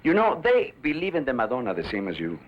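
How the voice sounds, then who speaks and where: An actor playing a defiant, embittered outlaw in a western drama, speaking with impassioned intensity. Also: male voice, mid-range voice, wildly swooping pitch, quick pace, lo-fi sound. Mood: bitter, resigned. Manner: impassioned, swinging between muttering and shouting.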